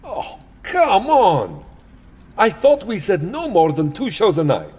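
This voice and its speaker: A man's voice: sits high, wildly swooping pitch, slow pace, a little mellow, slightly rough, heavily acted. A man playing a weary, exasperated vampire entertainer in a comic audio drama, grumbling theatrically and petulantly.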